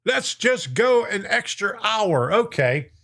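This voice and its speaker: A man's voice: high voice, sing-song, takes it slowly, creaky, sharp tone. A middle-aged man hosting a model-train live stream, chatting casually and reading viewer comments. His voice is getting worn out, and he chuckles often and greets viewers by name. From lives in Florida.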